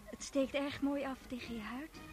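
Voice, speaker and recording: Actress as a gentle, high-voiced young woman. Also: fairly even intonation, normal speed, faint music behind, natural voice. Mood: admiring, shy. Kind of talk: Dutch radio play acting.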